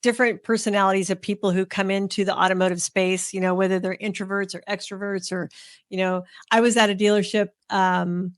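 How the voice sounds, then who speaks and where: A woman hosts a relaxed interview, chatty and friendly as she tells stories with plenty of fillers. Her voice is mid-pitched and recorded close and dry, with no room sound. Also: brisk, no room sound. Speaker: interview host. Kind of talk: casual interview chat.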